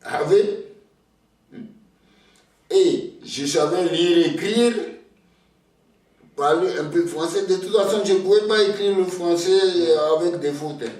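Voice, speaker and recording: An older Togolese man whose first language is Ewe, speaking slowly and hesitantly, often repeating words as he starts a thought. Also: high-pitched, darkish tone, recorded from a distance. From Togo. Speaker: interviewee, elder. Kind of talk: slow reflective storytelling. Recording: very echoey room, voice off-mic.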